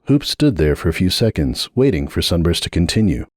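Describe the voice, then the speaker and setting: A man narrating a story in an expressive dramatic audiobook reading. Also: sits low, brisk, no echo, clean studio sound.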